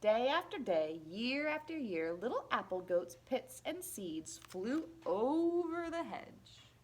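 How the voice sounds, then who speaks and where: A woman reading a children's picture book aloud in an expressive, deliberate, playful storytelling manner. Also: fairly high pitch, slightly rough. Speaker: storybook reader at a library.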